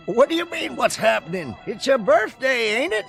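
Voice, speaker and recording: An actor playing a teasing, excitable small-town buddy with a rural American accent in a radio drama. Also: male voice, extremely high pitch, moderate pace, vocal fry, faint music behind, heavily acted. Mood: gleeful.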